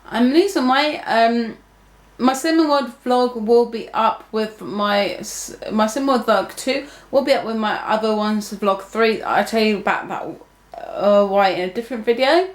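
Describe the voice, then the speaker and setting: A woman's voice: on the high side, unhurried. A young woman talking casually to her viewers, rambling with many fillers and restarts. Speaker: vlogger. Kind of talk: casual talk to camera. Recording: echoey room.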